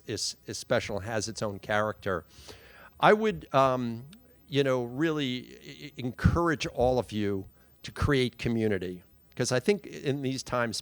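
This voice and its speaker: A man's voice: mid-range voice, no echo. A middle-aged man hosts and welcomes a group, talking in an informal, rambling way full of fillers. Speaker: event host and club organizer. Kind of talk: welcome speech.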